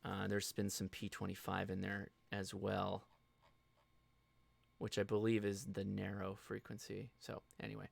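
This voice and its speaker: An American man hosting a live show, giving a casual, informal software walkthrough and thinking aloud as he goes. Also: lowish voice, monotone, a little slow, gravelly. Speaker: host of a live ham radio show.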